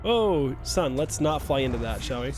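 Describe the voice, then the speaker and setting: A man's voice: medium pitch, lively intonation, moderate pace, music in the background. A young man streaming a game live, chatting with his viewers in a joking, self-mocking way. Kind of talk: casual live-stream chat while playing.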